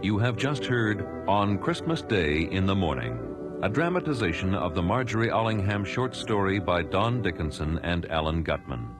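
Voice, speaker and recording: A male announcer delivers radio drama credits in a low voice. His formal, theatrical reading has animated intonation and sits over a clear music bed.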